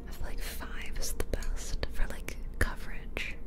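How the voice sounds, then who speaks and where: A woman whispering close to the microphone in an ASMR style, casually musing aloud as she comments on a game. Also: measured pace. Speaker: ASMR video creator. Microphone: close ear-to-ear ASMR microphone.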